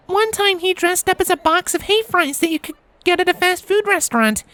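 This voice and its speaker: A woman's voice: extremely high pitch, unhurried, fairly bright tone, no echo. A woman voicing a cheerful young female pony in an audio story, chirpy and giggly with a sweetly teasing, cooing manner. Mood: fond, nostalgic. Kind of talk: fan fiction audio story character acting.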